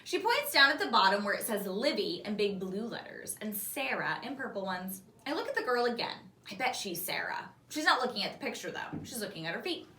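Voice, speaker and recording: A woman reading a children's novel aloud like a teacher, expressive and animated, acting out the dialogue. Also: mid-range voice, brisk, few pauses. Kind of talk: expressive storybook read-aloud. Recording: roomy echo, distant mic.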